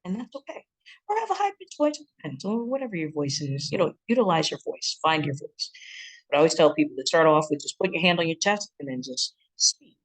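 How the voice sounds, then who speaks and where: A relationship educator with a deep, booming chest voice, giving a lively instructional talk. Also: a little fast, rough recording. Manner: lively, breaks into acted quotes and laughs.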